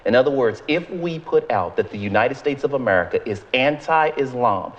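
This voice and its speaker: A man's voice: mid-range voice, animated, normal speed, muffled recording, a little hiss or hum. A man debating on a TV political panel, combative and pressing with rhetorical questions and repeated "so in other words.". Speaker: Democratic debate guest.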